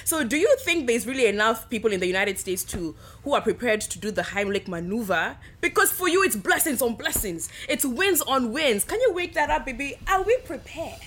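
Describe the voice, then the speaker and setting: A woman's voice: on the high side, big pitch swings, brisk, few pauses, a bit bright. A woman hosting a podcast in a playful, effusive, sassy way, chatting casually with lots of slang. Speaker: podcast host.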